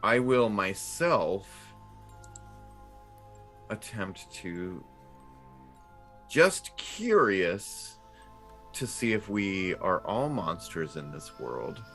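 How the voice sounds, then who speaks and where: A man with a fairly low voice talks through his turn in a tabletop game. He takes his time, casually working out his words as he goes, with faint music behind him. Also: sing-song, extremely slow, pauses often, fairly bright tone. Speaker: player in a tabletop RPG. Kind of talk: casual improvised game chat.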